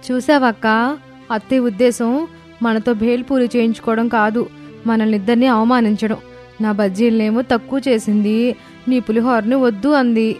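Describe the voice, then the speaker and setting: A woman's voice: a little high, fairly even intonation, moderate pace, darkish tone, lightly acted. Woman as aggrieved young daughter-in-law over music. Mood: hurt. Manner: aggrieved, protesting. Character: hurt, hot-headed young daughter-in-law. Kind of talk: animated moral story dialogue. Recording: voice over prominent music bed.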